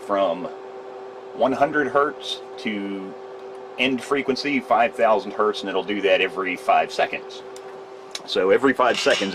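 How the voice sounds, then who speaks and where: A man's voice: fairly low pitch, noisy background. A man demonstrating radio repair in a casual, rambling way, often correcting himself mid-sentence. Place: repair shop workbench.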